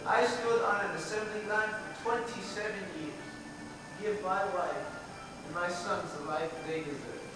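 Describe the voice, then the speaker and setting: Echoey distant recording, guy playing proud old grandpa on stage, jovial, highish voice. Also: measured pace, music in the background. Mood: proud reminiscing. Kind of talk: amateur stage play acting. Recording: echoey noisy hall, recorded from far off.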